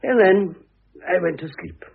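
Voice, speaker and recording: A middle-aged man with a British accent acting in a classic radio drama as a peevish, gossipy gentleman admirer, chatty and blustering in a theatrical way. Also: high voice, measured pace, gravelly, breathy edge, full character voice. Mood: amused, conspiratorial. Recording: muffled, tinny old radio recording.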